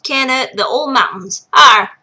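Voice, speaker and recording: A woman doing a gruff old mountain hermit. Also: sits high, measured pace, full character voice. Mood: boastful. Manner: shouty, blustering.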